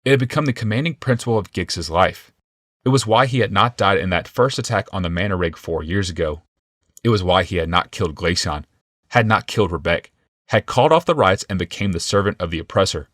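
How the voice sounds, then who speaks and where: A man narrates a fantasy novel in a low voice. The recording is close and dry, in a scripted storytelling style. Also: a bit bright, dry recording. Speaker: audiobook narrator.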